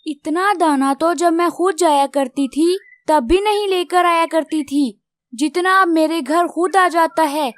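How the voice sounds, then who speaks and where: A woman voicing a wise, brave little female bird in a children's cartoon, with a gentle, reassuring manner. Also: extremely high pitch, a little slow, no echo, studio quality. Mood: grateful, thoughtful. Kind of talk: Hindi children's cartoon dialogue.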